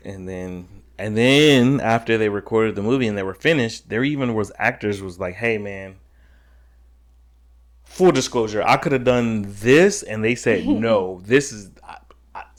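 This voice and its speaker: A man's voice: lowish voice, measured pace. A man co-hosting a casual gaming podcast, rambling with many restarts and blunt opinions. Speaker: podcast co-host. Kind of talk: casual chat.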